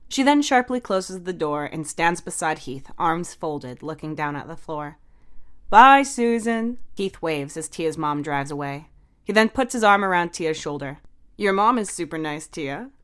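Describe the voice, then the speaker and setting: A woman's voice: sits low, moderate pace. A woman reading scripted story narration as the narrator.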